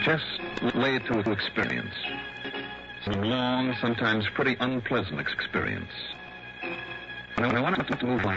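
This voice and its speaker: A man's voice: medium pitch, lightly acted. An actor playing a shrewd, wary private investigator in a 1960s radio drama, narrating his case in a clipped, world-weary way. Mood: brooding, suspicious. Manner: clipped, world-weary first-person telling. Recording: dull old radio broadcast, music behind.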